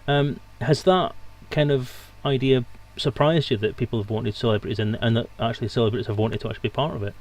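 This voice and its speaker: A man's voice: mid-range voice, fast, few pauses, rough recording. A man hosting a livestream interview in a casual, informal, rambling way. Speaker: host and streamer.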